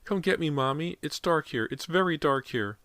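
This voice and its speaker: A man voicing a frightened little boy who pleads and whimpers from somewhere dark. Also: a little high, expressive, moderate pace, dry recording, pitched above the performer's own voice. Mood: frightened pleading.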